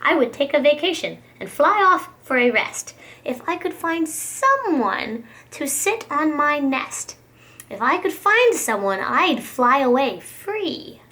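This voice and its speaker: A woman's voice: high-pitched, very animated, slow pace, bright, forceful tone, heavily acted. A woman voicing a lazy, self-centred female bird from a children's storybook, coaxing and complaining. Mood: bored, complaining.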